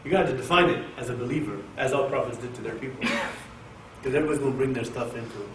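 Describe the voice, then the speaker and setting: A man's voice: medium pitch, fast. Male Quran teacher from Oklahoma, casual and joking, picked up from a distance with room echo and light hiss. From Oklahoma, USA. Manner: jokey, animated, talks to the audience. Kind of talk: informal religious class. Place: mosque hall with an audience. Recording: echoey room, distant mic, faint hiss.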